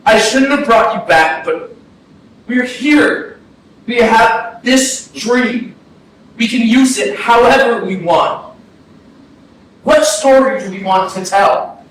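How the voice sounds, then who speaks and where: A man's voice: extremely high pitch, takes it slowly, pauses often, a bit bright, exaggerated voice. A man playing a grieving, guilt-ridden brother, pleading and defensive in a staged play reading. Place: arts centre theatre. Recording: very echoey stage, distant mic, faint noise.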